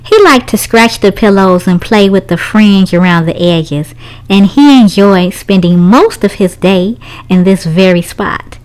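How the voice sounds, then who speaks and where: A woman's voice: medium pitch, unhurried. A woman reading a children's story aloud, narrating expressively for young listeners.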